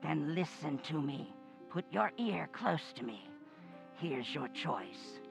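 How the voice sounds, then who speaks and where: A woman's voice: sits low, very animated, gravelly, music in the background, heavily acted. A woman playing a menacing, bloodthirsty undead old woman who taunts and commands in old-time radio horror style. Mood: commanding, urgent. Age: older. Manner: slow, taunting, commanding, gloating.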